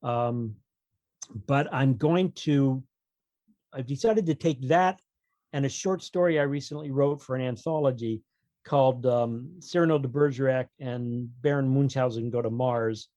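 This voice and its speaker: A man's voice: mid-range voice, measured pace, dark tone, no echo. A man talking casually as an author guest on a podcast, explaining his opinions with wry humour.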